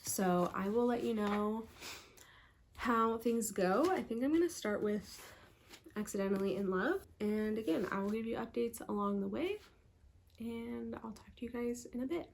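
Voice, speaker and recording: A woman's voice: on the high side, moderate pace, gravelly, slight background noise. A woman book vlogger talking casually and reading book blurbs aloud, a little congested in parts. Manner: chatty, upbeat, filler-heavy.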